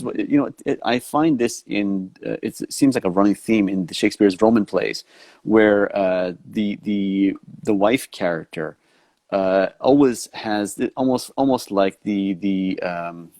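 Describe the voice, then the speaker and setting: Man, low voice, dry close sound, host of an online interview, meandering questions, video call. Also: darkish tone, no echo. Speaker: talk show host.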